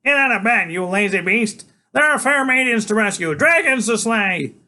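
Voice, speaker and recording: A man's voice: moderate pace, heavily acted. A man voicing a small, bossy genius boy who is always full of energy and speaks in lofty pronouncements. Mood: excited. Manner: high, excitable, lofty pronouncements. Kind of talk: audiobook character voice.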